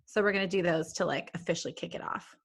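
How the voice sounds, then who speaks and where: A woman's voice: on the low side, quick pace, vocal fry. A woman co-hosting a podcast over a video call, chatting in an easygoing, casual way. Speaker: podcast co-host. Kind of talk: casual chat.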